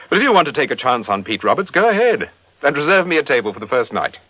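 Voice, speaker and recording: An actor playing a suave English gentleman detective with a dry, urbane, probing manner in a vintage radio drama. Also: mid-range voice, big pitch swings, fast talker. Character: suave, assured male amateur detective, wryly teasing. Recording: dull vintage radio recording with music.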